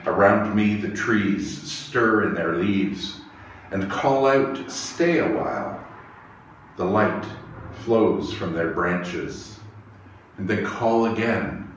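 Echoey, low-voiced minister, a man. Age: middle-aged. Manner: slow, measured, reverent delivery. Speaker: church minister leading worship. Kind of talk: reading poetry and prayer in worship. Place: small church chapel, indoors. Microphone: lapel microphone. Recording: echoey room, distant, faint hiss.

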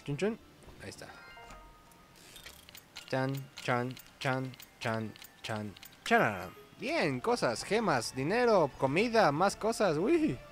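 A man's voice: on the high side, sing-song, takes it slowly, soft background music. A man with a Mexican Spanish accent giving casual, chatty gameplay commentary in informal slang as host of a gaming video. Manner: informal, chatty, hums little sound effects.

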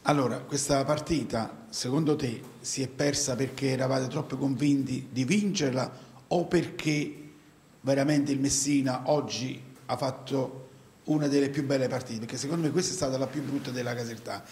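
A man's voice: medium pitch, fairly even intonation, normal speed, a little mellow. Direct male reporter, roomy sound. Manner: direct, opinionated questioning. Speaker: sports journalist. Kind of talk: press conference question.

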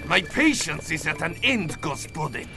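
An actor playing a zealous, stern Soviet agent in a video game, declaiming menacingly with a Russian accent. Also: male voice, on the high side, big pitch swings, moderate pace. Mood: angry, menacing. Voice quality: gravelly. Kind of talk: video game cutscene acting. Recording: music behind the voice.

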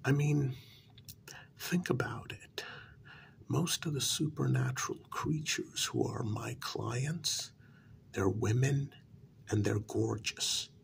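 A man doing a soft-spoken, often whispered ASMR role-play as a coaxing, placating talent agent, with deliberate, halting phrasing. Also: mid-range voice, animated, vocal fry. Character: persuasive, placating talent agent to supernatural creatures.

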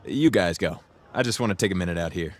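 An actor playing a tough, casual gang boss in a video game, terse and dry. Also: male voice, low-pitched, fast, lightly acted. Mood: friendly. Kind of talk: video game cutscene acting.

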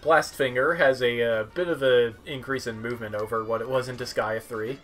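A man's voice: mid-range voice, fairly even intonation, a little fast, a bit bright. A young man giving casual, wry, rambling commentary on a video game. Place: indoor room at home. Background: game music.

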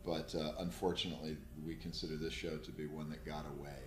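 A middle-aged man, an effects artist, explaining his past work in a halting, measured interview style. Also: rumbling low, flat delivery. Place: indoor room, seated interview. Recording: echoey room, faint noise.

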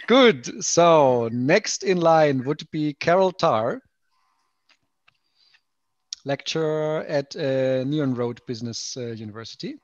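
A man's voice: fairly high pitch, expressive, slow, pauses often, no echo. A man in his thirties moderating a webinar panel on a headset, upbeat and chuckling as he brings in each speaker. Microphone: headset on video call. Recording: dull lo-fi call audio.

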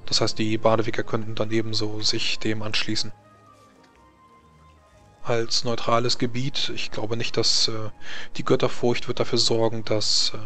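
A man improvising in character as a tabletop game master, explaining things in a halting way. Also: fairly low pitch, bright, forceful tone.

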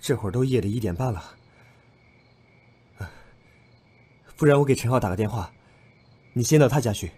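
A man's voice: medium pitch, expressive, rapid-fire, dark tone, slightly rough. An actor playing a level-headed, talkative young man among friends in an audio drama. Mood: reassuring. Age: young adult. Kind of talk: Chinese audio drama acting.

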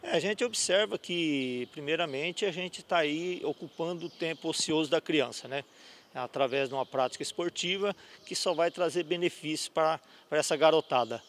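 A man's voice: fairly high pitch, normal speed, slight background noise. A middle-aged man, organizer of a youth futsal cup, explaining the rules into a handheld mic inside a sports gym. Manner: explanatory, with fillers. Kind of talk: interview. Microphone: handheld reporter mic.

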